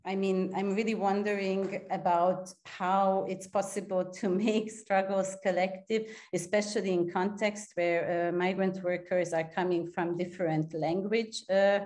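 A woman's voice: mid-range voice, flat delivery, a little slow, few pauses, a little mellow. A woman from Hungary, asking a long, searching question on a video call, with many restarts and fillers. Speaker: audience member asking a question. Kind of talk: online seminar question.

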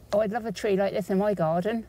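A woman's voice: medium pitch, warm tone, a little hiss or hum. A woman narrating a casual nature walk vlog outdoors, musing in short phrases full of wonder. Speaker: vlogger. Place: outdoors in woodland.

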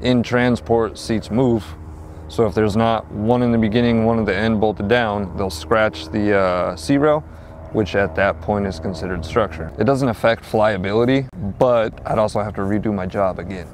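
Male interviewee, former factory worker, low voice, halting and plain, faint street noise behind. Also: fairly even intonation. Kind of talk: casual interview.

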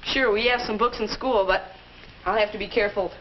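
A man's voice: high-pitched, steady tone, a little fast, natural voice. A young male actor playing a reluctant, sceptical fourteen-year-old boy in a 1950s instructional film. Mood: hesitant, curious. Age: teenager. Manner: hesitant, sceptical. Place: indoor film set. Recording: dull, noisy old 1950s film soundtrack.

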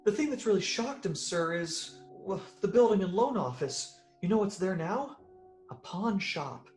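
A man playing a gentle, very old angel in a radio drama, earnest, innocent and fussily polite. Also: high voice, lively intonation, unhurried, echoey room, soft background music. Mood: reporting earnestly.

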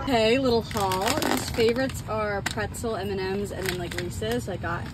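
A woman's voice: medium pitch, steady tone, normal speed. A young American woman vlogging casually and chattily inside a car. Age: young adult. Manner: chatty, informal. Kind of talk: casual chat to camera and friend. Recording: loud car and outdoor ambient noise.

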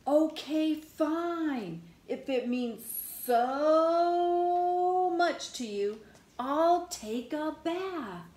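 A woman's voice: a little high, very animated, slow, a little mellow, a little hiss or hum. A woman voicing a stubborn, defensive, dramatic pigeon from a children's picture book, stretching words with exclamatory mock indignation. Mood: exasperated, complaining. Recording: roomy indoor echo.

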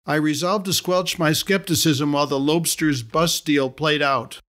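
A middle-aged man reading his own story aloud as a podcast host, in a measured, wry storytelling manner. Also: fairly high pitch, fairly even intonation, a bit bright. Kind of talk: scripted storytelling narration.

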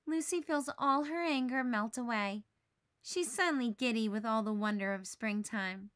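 A woman reading a children's story aloud in an animated, dramatic way. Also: on the high side, normal speed, no echo, studio quality. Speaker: storybook reader. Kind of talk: expressive children's read-aloud narration.

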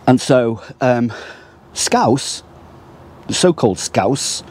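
A man's voice: mid-range voice, lively intonation, very slow, darkish tone, slight background noise. An older man from Prescot with a Lancashire accent tinged with Liverpool, talking hesitantly to a close camera outdoors in a casual vlog. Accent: Lancashire with a slight Liverpool tinge. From Prescot, England. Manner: hesitant, many ums and restarts. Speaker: vlogger. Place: outdoors by a theatre at dusk. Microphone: camera close at arm's length.

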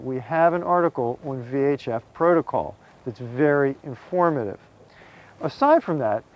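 A man's voice: a little high, muffled recording. A middle-aged American man presenting a scripted how-to to camera in a direct, folksy way, addressing viewers plainly. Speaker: presenter, magazine contributing editor. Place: outdoors on a small open boat on the water.

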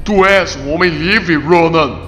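A man's voice: on the high side, slow, a bit bright. A man voicing a proud, defiant warrior god in a motion comic dub, speaking in solemn, formal, archaic phrases. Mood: rousing, solemn. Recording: long reverb with music underneath.

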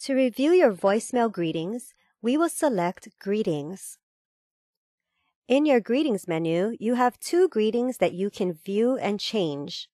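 A woman reading a scripted instructional voice-over in a measured, formal, step-by-step manner. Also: mid-range voice, animated, dark tone, no echo, clean studio sound. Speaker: tutorial narrator.

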